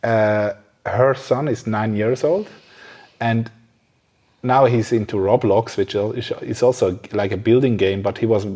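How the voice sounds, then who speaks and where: A man's voice: on the low side, steady tone, a little slow, darkish tone. A man hosting a podcast interview in a casual, agreeable, chatty way. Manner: agreeable, frequent affirming responses. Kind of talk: casual chat.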